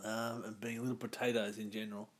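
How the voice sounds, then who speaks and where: A woman's voice: very deep voice, little pitch movement, quick pace. A woman co-hosting a casual, tipsy podcast chat, teasing her friend with dry, sweary quips. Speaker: podcast co-host.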